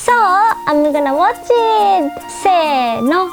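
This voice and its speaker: High, lively woman reacting over music. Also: takes it slowly. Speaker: video reactor. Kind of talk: casual reaction commentary. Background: loud music.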